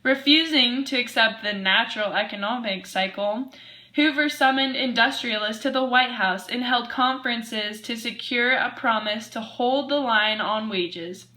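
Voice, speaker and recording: Girl playing earnest 1920s radio newswoman, scripted, bright highish voice. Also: a little slow. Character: earnest female 1920s radio news anchor. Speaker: news anchor.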